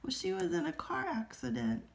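A woman vlogging as she scratches lottery tickets, her throat a little scratchy, calmly reading out numbers in a friendly way. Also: very high-pitched, normal speed, creaky. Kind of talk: casual to-camera chat while scratching tickets.

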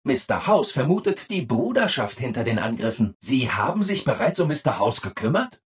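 A relentlessly upbeat video game robot assistant, eager to please at every turn. The delivery is chirpy and over-eager, with sing-song emphasis and big lively swings in pitch. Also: male voice, normal speed, slightly rough. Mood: upbeat, helpful. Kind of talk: video game character dialogue.

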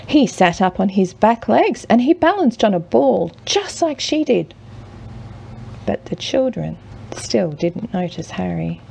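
A woman reading a children's picture book aloud as a mother would, expressively and with dramatic pauses. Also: mid-range voice, vocal fry, slight background noise. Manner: measured, with dramatic pauses and hushed drawn-out words. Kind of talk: expressive children's storybook narration.

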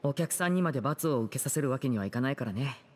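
A man's voice: mid-range voice, lively intonation, fast, lightly acted. Composed young magician, acted by a man, reverberant. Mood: earnest, careful. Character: composed, kind young male stage magician, protective leader. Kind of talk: Japanese anime game dialogue acting.

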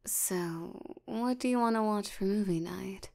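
A woman voicing a tired, languid sloth demon in an intimate ASMR roleplay, soft and often nearly whispering, with a drowsy deadpan delivery. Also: mid-range voice, measured pace. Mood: drowsy, affectionate. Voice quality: soft, creaky, often breathy near-whisper.

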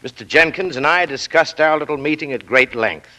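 An actor playing a pompous, effusive company boss with flowery, hemming speech in 1940s radio style. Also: male voice, a little high, fairly quick, pushed and bright. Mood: patronizing. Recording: vintage 1940s radio broadcast.

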